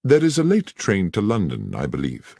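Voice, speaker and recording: A man reading aloud, voicing a courteous, probing gentleman detective. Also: deep voice, huge pitch swings, normal speed, vocal fry, slightly rough, dry recording, clean studio sound, voice pushed up, exaggerated voice. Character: keen, incisive gentleman detective.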